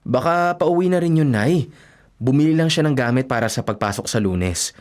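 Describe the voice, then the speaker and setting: An actor playing a devoted, self-sacrificing young working man in a Filipino radio drama, speaking gently and earnestly with a Filipino accent. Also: mid-range voice, lively intonation, normal speed, natural voice. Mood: earnest, defending. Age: young adult. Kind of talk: Tagalog radio drama acting.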